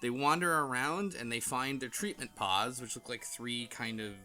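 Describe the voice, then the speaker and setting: A man's voice: mid-range voice, moderate pace, bright, forceful tone, soft background music. A man leading a casual podcast chat, rambling with lots of filler words. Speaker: podcast host. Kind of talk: casual chat recapping a visual novel.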